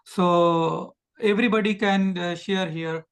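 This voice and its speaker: A man's voice: sits high, steady tone, slow pace, a bit bright, smooth. A man with an Indian accent casually hosting an online community meeting. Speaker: meeting host.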